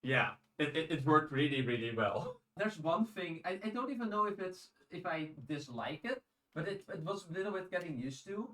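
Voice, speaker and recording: A man with a fairly high voice talks casually as a podcast co-host. He often repeats his first words while working toward a point. The recording has a strong room echo around him. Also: very animated, normal speed, bright, forceful tone. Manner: hesitant, repeats words as he starts sentences. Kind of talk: casual discussion of audiobooks.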